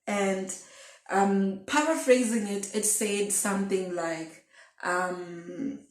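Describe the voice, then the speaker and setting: An echoey room surrounds a young woman with a mid-pitched voice. She talks casually to camera in a confessional vlog style, thinking aloud and wandering from one thought to the next. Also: takes it slowly. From Zimbabwe. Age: twenties. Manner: rambling, thinking aloud, self-questioning. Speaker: video blogger.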